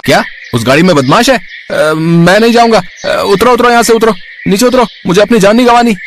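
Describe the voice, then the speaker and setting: Man putting on a high, panicky rickshaw driver. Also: brisk, slight background noise, natural voice. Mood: panicked. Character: timid, panicky male auto-rickshaw driver.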